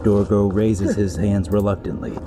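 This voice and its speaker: Low-voiced man narrating over loud music. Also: steady tone, normal speed. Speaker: composer and podcast host. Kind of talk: scripted narration. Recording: loud music under voice, some reverb. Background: loud soundtrack music.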